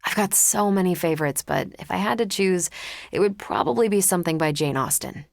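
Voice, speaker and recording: A confident female superhero soldier from a video game, speaking in a slightly low voice. She is casual and assured, with a wry, quippy sense of humor. The recording is dry and free of room sound. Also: animated, a little fast, vocal fry, dry recording. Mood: wry, conversational. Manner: casual, dryly joking, assured. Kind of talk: video game character dialogue.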